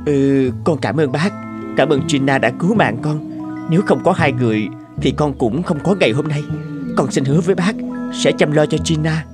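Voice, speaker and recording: An earnest young lover from a cartoon fairy tale, voiced by a man. His voice sits a little high, dutiful and sorrowful, with clear music underneath. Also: moderate pace, close to an everyday voice. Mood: grateful, earnest.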